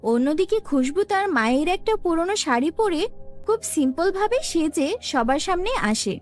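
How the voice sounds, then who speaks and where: A woman's voice: extremely high pitch, lively intonation, normal speed, soft background music. A woman narrating a scripted cartoon fairy tale as storyteller.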